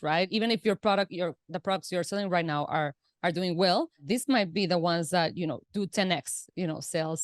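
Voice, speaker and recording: A woman's voice: fairly low pitch, no echo, studio quality. A woman whose first language is Spanish, speaking English as a guest in a casual interview, explaining at length with many "you know" and "right" fillers and restarts. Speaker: guest, Amazon seller.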